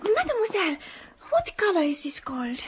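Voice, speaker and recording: An excited little French girl. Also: extremely high pitch, brisk, a little hiss or hum, exaggerated voice. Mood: delighted. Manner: eager, chattering, breathless. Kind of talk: radio drama acting.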